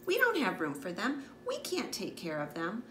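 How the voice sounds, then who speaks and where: A woman's voice: medium pitch, huge pitch swings, normal speed. A woman voicing a weary, exasperated mother in a children's storybook. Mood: reluctant. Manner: protesting, weary. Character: exasperated, reluctant but loving young boy's mother. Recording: echoey room with background noise.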